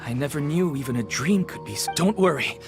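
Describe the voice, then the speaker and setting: Polite woman organizer, lowish lively voice, obliging, deferential, game dialogue, music behind. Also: brisk. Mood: concerned. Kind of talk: video game character voice acting.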